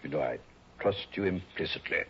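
A sly, self-satisfied male blackmailer, with a mannered delivery that swings widely in pitch. It is an old radio play, muffled and hissy. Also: animated, a little fast, vocal fry. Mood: smug, menacing. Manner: mannered, mock-polite, theatrical. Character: smug, sly male blackmailer, sneering then pleading. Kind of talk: 1960s radio drama acting.

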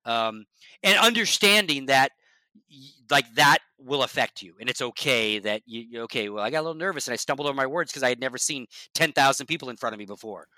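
A man talking shop in a casual podcast chat as a guest from esports broadcasting. He is blunt and emphatic, swears, and often sinks to a low, quiet voice. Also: lively intonation, fast, dry recording, rough recording.

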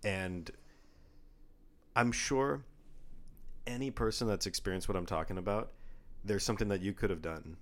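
A young man co-hosting a casual talk show, jokey and animated, getting louder when he gets worked up. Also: moderate pace, long pauses. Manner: animated, jokey, rising in pitch and volume when riled. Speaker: podcast co-host. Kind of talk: casual chat.

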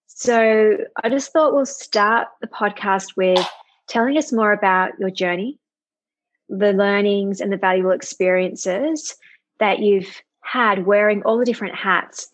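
A woman hosting a podcast, reading a warm, earnest, motivational introduction and welcoming her guest. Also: medium pitch, a little slow, rough recording. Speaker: podcast host. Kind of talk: scripted podcast intro and interview.

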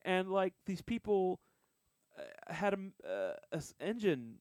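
A man's voice: high voice, sing-song, measured pace, a little mellow, smooth, dry recording, studio quality. A man co-hosting a casual podcast chat, blunt and scoffing, telling stories with stumbles and restarts. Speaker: podcast co-host.

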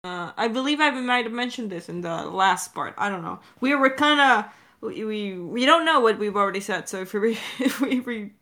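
A woman co-hosting a podcast in a casual Q&A chat, talking in an animated, informal, rambling way. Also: mid-range voice, moderate pace. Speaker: podcast co-host and audio drama director.

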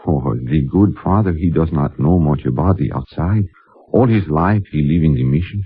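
An actor playing a humble, pleading mission servant with a Spanish accent and halting, earnest broken English, in a 1950s radio drama. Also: male voice, rumbling low, husky, dry recording, full character voice. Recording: muffled, narrow-band vintage radio recording.

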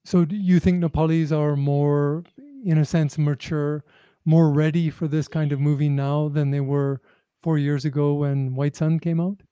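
A man's voice: on the high side, flat delivery, a little slow, mellow, clear, resonant tone, no echo. A man conducting a conversational interview, phrasing his questions carefully and tentatively.

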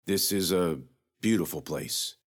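A man voicing a gruff, ailing knight who speaks tersely in a low, grim way. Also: unhurried.

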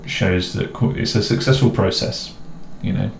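A young adult man from the English Midlands with a mixed, somewhat posh English accent, co-hosting a casual chat in a relaxed, rambling way with self-mocking jokes. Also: fairly low pitch, moderate pace, gravelly. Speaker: co-host. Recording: echoey room with faint noise.

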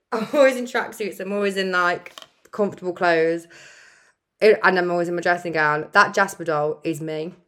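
A young woman hosting her own podcast, with a croaky, deep voice, giving earnest, chatty pep talks and laughing often. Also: moderate pace, a bit bright. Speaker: podcast host. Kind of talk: casual motivational solo podcast.

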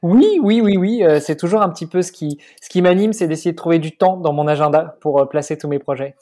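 A man in his forties, a guest on an interview podcast, talking in a measured way with many hesitations. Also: on the high side, dark tone. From France. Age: 40s. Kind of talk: casual interview chat.

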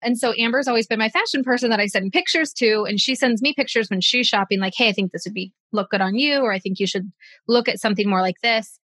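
A woman's voice: fairly high pitch, fast talker, a bit bright, dry recording. A woman in her forties chatting casually as a podcast co-host, thinking aloud with many "like"s. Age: 40s. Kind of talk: casual chat.

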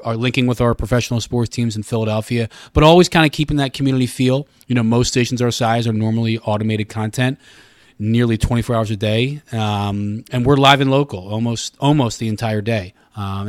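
A man's voice: mid-range voice, no room sound, clean studio sound. A man in his thirties explaining things conversationally and at length as an interview guest. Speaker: guest, radio station communications director. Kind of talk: casual interview talk.

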